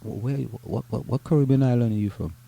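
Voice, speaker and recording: Trinidadian man with a dark, dry voice. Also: mid-range voice, vocal fry, dry recording. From Trinidad and Tobago. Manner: halting, repeats words and restarts often. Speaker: podcast co-host. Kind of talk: casual chat, reading a news article aloud.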